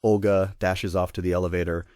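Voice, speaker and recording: A man's voice: deep voice, little pitch movement, brisk, a little mellow, no room sound, clean studio sound. A man narrating stage directions in a scripted table read.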